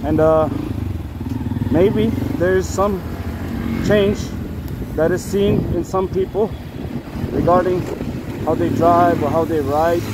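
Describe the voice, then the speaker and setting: Guy from nepal vlogging on his bike, fairly high voice, rambly and frank, heavy traffic noise behind. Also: steady tone, slow pace, pauses often. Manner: rambling, filler-heavy, frank with some swearing. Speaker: motovlogger. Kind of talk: casual riding commentary. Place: on a motorcycle in city traffic outdoors. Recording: loud road and engine noise.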